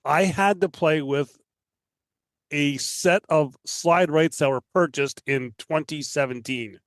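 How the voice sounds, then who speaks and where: A man's voice: a little high, takes it slowly, no room sound. A man from Canada chatting casually as a podcast guest. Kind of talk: casual chat.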